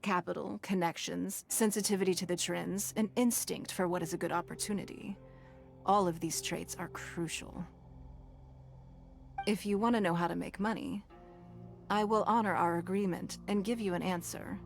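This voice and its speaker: Shrewd, stately female tycoon for a game: lowish pitch, measured, formal, quietly commanding. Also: fairly even intonation, creaky, soft background music. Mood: shrewd, assured. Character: poised, wealthy businesswoman leader, composed, shrewd and formal. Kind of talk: video game voice acting.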